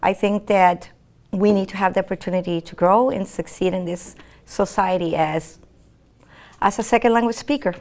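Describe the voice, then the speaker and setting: Older Puerto Rican-accented woman teacher, native Spanish speaker, warm and halting, interview answers. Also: medium pitch. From Puerto Rico.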